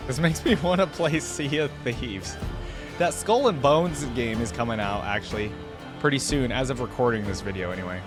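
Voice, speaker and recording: A man's voice: mid-range voice, expressive, moderate pace, over music. A man hosting a reaction video, giving casual off-the-cuff commentary in colloquial language as he thinks aloud.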